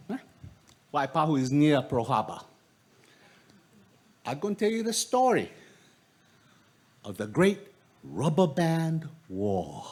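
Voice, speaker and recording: A man's voice: fairly high pitch, a bit bright, exaggerated voice. A man voicing a chatty local old-timer with a Hawaiian Pidgin accent, recalling his school days. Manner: chatty, emphatic storyteller.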